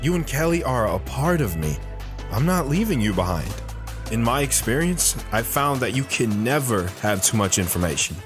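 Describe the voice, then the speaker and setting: A man's voice: mid-range voice, very animated, moderate pace, over music, close to an everyday voice. A man playing an earnest, wistful retired soldier who tells his life story with restraint in an audio drama. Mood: earnest, tender.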